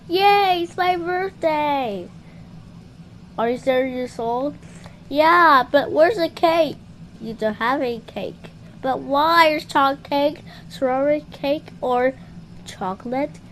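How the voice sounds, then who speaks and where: A woman with a very high voice puts on a playful, improvised puppet show. Her delivery is excitable and exclamatory, with big swings in pitch, over some background noise.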